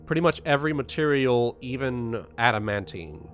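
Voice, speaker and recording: A man narrating and explaining a tabletop game as game master, in a halting way with repeated phrases. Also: medium pitch, lively intonation, over music.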